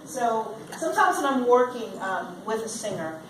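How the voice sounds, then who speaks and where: A woman leads an informal music technology demonstration, chatty and playful. Her mid-pitched voice sits in a very echoey room, picked up from far off with clear background noise. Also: expressive, normal speed. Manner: chatty, playful, often checks with "all right?". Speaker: musician and vocalist leading a master class. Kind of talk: informal live demonstration of vocal effects. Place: indoor live workshop before an audience. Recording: echoey room, distant mic, noisy background.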